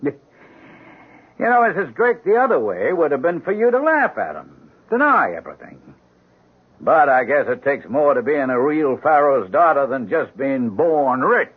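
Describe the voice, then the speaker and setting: A shrewd, tough police lieutenant in an old radio play. His husky, mid-pitched voice is wry and expressive. The recording is muffled and narrow. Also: normal speed. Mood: wry, triumphant. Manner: probing, wry. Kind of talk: 1970s radio drama acting.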